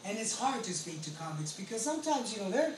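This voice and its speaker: A man's voice: high-pitched, sing-song, fast talker. The sound is roomy and a little distant, with faint noise behind it. An American man in his late forties preaches in testimony style. He is earnest and emphatic, circling back to repeat his phrases for weight. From California, United States. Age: late 40s. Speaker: pastor and ex-convict preacher. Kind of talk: testimony sermon. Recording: roomy echo, distant mic, background noise.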